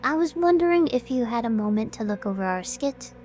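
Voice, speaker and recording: A woman's voice: on the high side, lively intonation, brisk, darkish tone, slight background noise, lightly acted. A woman in an audio drama playing a headstrong, driven young idol with a blunt, insistent manner. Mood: excited, busy. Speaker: voice actor in fan audio drama.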